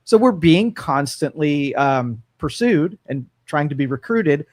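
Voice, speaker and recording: A man's voice: on the high side, lively intonation, measured pace, a little mellow, no room sound. A man co-hosting a talk show from a studio, musing aloud with frequent fillers and restarts. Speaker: radio talk show co-host. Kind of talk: casual opinion talk.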